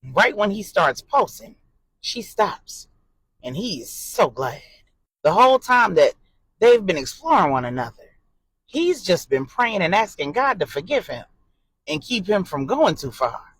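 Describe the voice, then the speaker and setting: A woman narrating an adult drama story in an expressive, suspenseful way and voicing the characters' dialogue. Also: on the low side, measured pace, slightly rough, no room sound.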